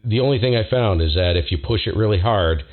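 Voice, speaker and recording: A man's voice: fairly low pitch, a little fast, narrow, tinny audio. A man who operates amateur radio, talking technical shop in a casual, enthusiastic way. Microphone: radio station microphone. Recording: band-limited ham radio transmission.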